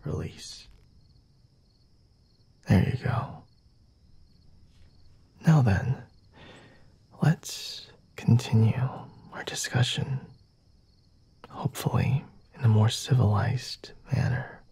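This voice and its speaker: A man playing a flirty, self-assured vampire lord in an ASMR roleplay, speaking softly and formally in a breathy, often whispered voice with a teasing manner. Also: mid-range voice, extremely slow, pauses often, heavily acted. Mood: patient, flirty. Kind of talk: ASMR roleplay, intimate dramatic monologue.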